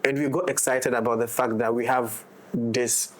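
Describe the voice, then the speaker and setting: A man's voice: mid-range voice, flat delivery, moderate pace. A man with a Ghanaian accent in a casual roundtable discussion, explaining things reflectively and checking that the listener follows. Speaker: audio drama director and writer in discussion.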